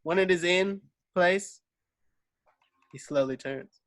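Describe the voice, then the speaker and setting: A man's voice: sits high, takes it slowly. A man reading screenplay stage directions aloud as scripted narration.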